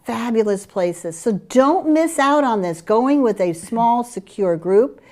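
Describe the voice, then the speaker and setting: A middle-aged woman from Curaçao with a Dutch Caribbean accent, presenting group trips in an eager sales pitch. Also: expressive, slow, darkish tone. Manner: enthusiastic sales pitch with fillers. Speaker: travel program presenter. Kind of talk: promotional chat about group tours.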